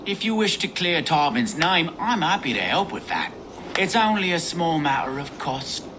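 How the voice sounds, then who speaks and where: An actor playing a sly older bandit gang boss, theatrical and taunting with false geniality, in a video game cutscene. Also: male voice, a little high, very animated, moderate pace, background noise. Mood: sly bargaining.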